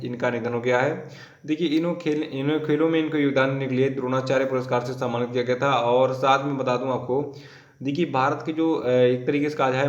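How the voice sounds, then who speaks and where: A hoarse man teaching an exam-prep current affairs lesson, going step by step and repeating names and dates for students to memorize. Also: medium pitch, echoey room, highs cut off.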